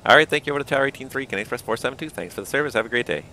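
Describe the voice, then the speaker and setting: A man flying a simulated airliner, thinking aloud and calling out checklists, with a voice that is going hoarse. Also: mid-range voice, racing, bright, forceful tone, a little hiss or hum. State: losing his voice. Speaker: flight simulator pilot. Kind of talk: casual cockpit commentary.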